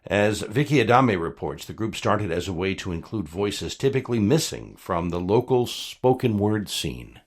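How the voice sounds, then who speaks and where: A man's voice: fairly low pitch, animated, moderate pace, hardly any pauses, breathy edge. A man working as a radio news announcer, reading a scripted introduction.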